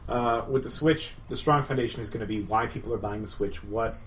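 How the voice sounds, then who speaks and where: A man's voice: mid-range voice, fairly quick, fairly bright tone. A man hosting a casual podcast discussion, explaining with rhetorical questions. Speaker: podcast host. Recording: slightly distant mic, faint noise.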